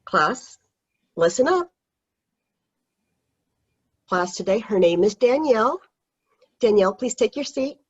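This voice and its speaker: A woman voicing a strict high school teacher, stern and commanding, over dull video call audio. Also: expressive, slow pace, pauses often, breathy edge, heavily acted. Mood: firm.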